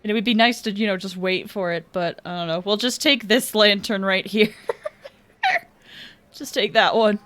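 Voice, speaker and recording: A woman's voice: medium pitch, normal speed, fairly bright tone. A young adult woman giving casual, playful live commentary on a game, with sing-song exclamations. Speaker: game streamer.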